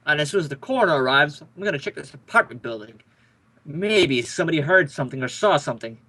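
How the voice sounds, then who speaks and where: A man's voice: on the high side, lively intonation, fast, bright, forceful tone, exaggerated voice. A man playing an exasperated, grumbling police lieutenant, sarcastic and blustery, in an old-time radio detective drama. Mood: businesslike.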